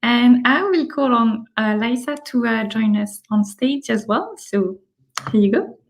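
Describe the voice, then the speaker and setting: Brief: woman host, hesitant, higher-pitched, video call. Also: expressive, a little slow. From Paris, France. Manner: hesitant, many fillers. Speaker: event host. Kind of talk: online event talk.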